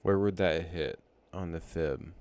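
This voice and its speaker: Deep gravelly-voiced man musing over charts. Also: measured pace, a little mellow. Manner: rambling, self-correcting, musing aloud. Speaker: trading educator. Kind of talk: casual think-aloud chart walkthrough.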